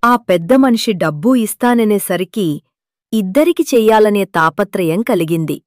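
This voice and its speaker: A woman's voice: mid-range voice, animated, normal speed, a little mellow, no room sound, clean studio sound. A woman narrating a scripted moral story. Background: background music.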